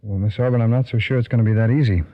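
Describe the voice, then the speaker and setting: An actor playing a steady, sympathetic police detective in an old-time radio drama, matter-of-fact but kindly. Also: male voice, mid-range voice, racing, close to an everyday voice. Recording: dull, lo-fi old radio recording.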